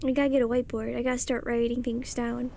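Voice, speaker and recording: Soft-voiced high young woman streamer. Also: little pitch movement, quick pace, vocal fry, slight background noise. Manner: chatty, rambling, self-deprecating, mutters settings under her breath. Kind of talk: casual chat while drawing on stream. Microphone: streaming mic.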